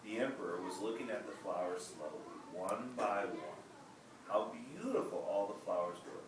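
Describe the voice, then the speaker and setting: Guy reading a kids storybook out loud, expressive n deliberate, super echoey and far from the mic. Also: on the low side. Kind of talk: children's picture book read-aloud. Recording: echoey room, distant mic, background noise.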